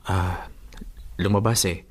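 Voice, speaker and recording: A man's voice: sits low, fairly even intonation, takes it slowly, slightly rough, heavily acted. An actor playing a sweet-talking young boyfriend in a radio drama, coaxing and pleading his case affectionately. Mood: coaxing, apologetic.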